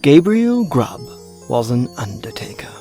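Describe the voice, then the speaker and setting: A man narrating a children's story in a theatrical, deliberate, dramatic style. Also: mid-range voice, very animated, music in the background.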